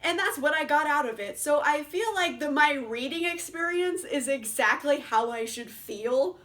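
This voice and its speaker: A woman's voice: high voice, lively intonation, moderate pace, fairly bright tone. A young woman casually reviewing books in a chatty vlog, laughing often and swearing freely. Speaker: book reviewer.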